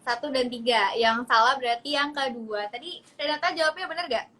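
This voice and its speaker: A woman's voice: sits high, brisk, pushed and bright. A woman casually hosting a party game, upbeat and prompting the guests along. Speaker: host.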